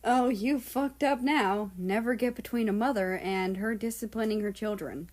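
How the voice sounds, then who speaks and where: A woman's voice: medium pitch, moderate pace, a little mellow, natural voice. Storybook ghost voiced by a woman narrator: female, teasing, playful, mocking, mouthy companion.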